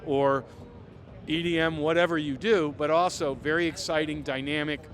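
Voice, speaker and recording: A man's voice: a little high, fairly even intonation, moderate pace, a bit bright, a little hiss or hum. A man presenting products off the cuff as a company representative, with an enthusiastic, salesy delivery. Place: trade show. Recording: music behind the voice.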